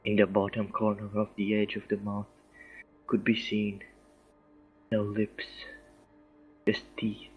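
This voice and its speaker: Slow, low-voiced man reading flatly. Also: breathy edge, poor audio. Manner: deliberate, with occasional stumbles. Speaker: story reader. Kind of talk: scary story narration read aloud. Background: faint background music.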